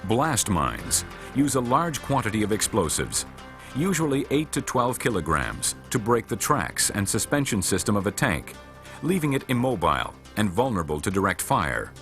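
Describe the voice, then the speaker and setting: A man reading scripted instructional narration for a military training film in a measured, formal, matter-of-fact way. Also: fairly low pitch, huge pitch swings, fairly bright tone, faint music behind.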